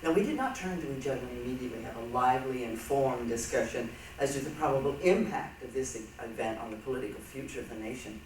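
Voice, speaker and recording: A man's voice: medium pitch, quick pace, hardly any pauses, close to an everyday voice. A man performing in a reader's theatre piece as an earnest figure soberly reflecting on the past. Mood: wry. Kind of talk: staged dramatic reading. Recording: very echoey, noisy hall, distant mic.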